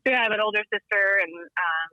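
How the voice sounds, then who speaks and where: A woman's voice: mid-range voice, quick pace, bright, forceful tone, dry recording. A woman in her thirties from Texas, calling in from home as a podcast guest, chatting casually with frequent ums and laughs. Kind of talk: casual interview chat. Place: home in Littleton, Colorado. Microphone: remote call-in line. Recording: dull dry call-in audio.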